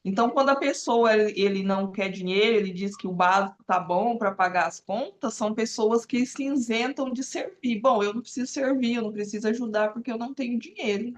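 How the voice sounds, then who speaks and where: A woman in her forties, a therapist and guest on a live video call, explaining in an earnest, unhurried way with frequent fillers. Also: a little high. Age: 40s. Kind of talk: casual live-stream talk.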